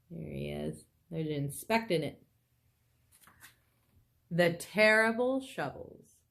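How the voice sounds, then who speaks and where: A woman reading a children's story aloud in a dramatic, expressive way. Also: mid-range voice. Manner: animated, dramatic, with exclaimed asides to listeners. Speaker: storybook reader.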